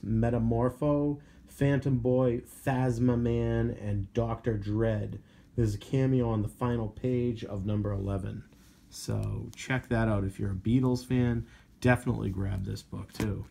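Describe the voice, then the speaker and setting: A hoarse man of about forty with a heavily gravelly, dark voice. He rambles casually, full of fillers, sounding strained as his voice gives out. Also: lowish voice. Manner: rambling, filler-heavy, enthusiastic about covers. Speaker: comic book collector vlogger. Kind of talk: casual show-and-tell review.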